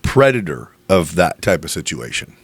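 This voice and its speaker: A man's voice: deep voice, big pitch swings, husky, no echo. Blunt, teasing male podcast host. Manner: blunt, teasing, quick interjections. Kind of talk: casual interview chat. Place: his own audio studio.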